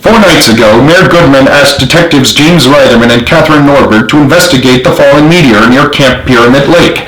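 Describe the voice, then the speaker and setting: A man's voice: mid-range voice, a little fast, few pauses, fairly bright tone, voice pushed up, natural voice. A man voicing an earnest, commanding army sergeant in a storybook, speaking emphatically in a roomy recording. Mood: earnest explaining.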